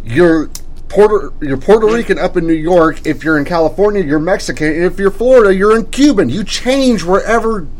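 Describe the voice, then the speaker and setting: A man's voice: high-pitched, expressive, a little fast, low-quality recording. A man co-hosting a casual podcast, cracking jokes as he talks. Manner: wisecracking. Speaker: podcast co-host. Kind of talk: casual chat.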